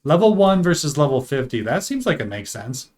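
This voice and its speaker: A man's voice: on the high side, very animated, a little fast, a little hiss or hum. A man giving casual, conversational video game commentary, reacting aloud to what is on screen. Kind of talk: casual let's play commentary with voiced-over dialogue. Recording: loud game music behind voice. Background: video game music.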